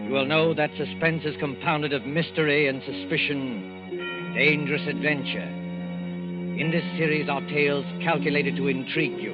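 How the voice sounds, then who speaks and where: Casting: male 1940s radio narrator, measured and dramatic, slightly high voice, muffled recording over loud music. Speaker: program host and narrator.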